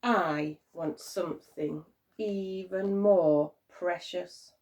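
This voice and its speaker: A woman's voice: on the low side, drawn-out words, darkish tone, far from the mic. A woman voicing a sly, taunting little magical man from a children's storybook, sneering and cackling with glee. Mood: sly, menacing.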